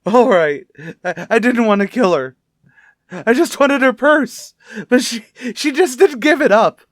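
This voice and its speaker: A man's voice: very high voice, animated, unhurried, no room sound, studio quality. A man playing a cornered, defensive murder suspect in a radio crime drama, stammering and pleading. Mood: desperate, breaking down. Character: cornered, defensive male murder suspect, cracking under pressure.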